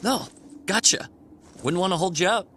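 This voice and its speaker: An actor playing a frank, friendly young warrior in a video game, with casual, straightforward delivery. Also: male voice, high-pitched, animated, moderate pace, bright, forceful tone, slight background noise. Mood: easygoing. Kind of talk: video game cutscene acting.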